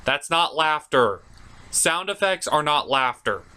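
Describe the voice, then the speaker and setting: Male reviewer, fairly high voice, swooping animated pitch, emphatic and mock-exasperated, scripted commentary with wry asides. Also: slow, clean tone. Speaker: reviewer hosting an anime review show.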